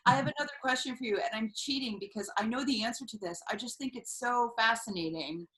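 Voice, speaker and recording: A woman's voice: fairly high pitch, fast talker, a bit bright. Eager woman interviewer on a roomy call. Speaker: interviewer, editor. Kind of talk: conversational interview questions. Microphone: video call. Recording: echoey room on a video call.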